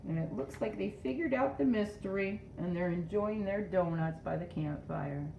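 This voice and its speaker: A woman's voice: medium pitch, normal speed, creaky, dark tone, distant mic. A woman reading a picture book aloud to young children and explaining it as she goes. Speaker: storybook read-aloud reader. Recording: roomy echo, dull sound, faint noise.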